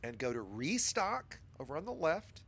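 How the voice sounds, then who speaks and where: A man's voice: a little high, very animated, normal speed, fairly bright tone, breathy edge. A man with a scratchy voice from a cold, patiently talking a new player through game setup step by step in a casual tutorial. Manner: patient, chatty instructor addressing viewers.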